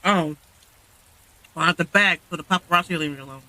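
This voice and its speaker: High-pitched, bright-voiced male game streamer. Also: brisk, dry recording, poor audio. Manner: slangy, reacting to the game. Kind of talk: casual live gameplay commentary.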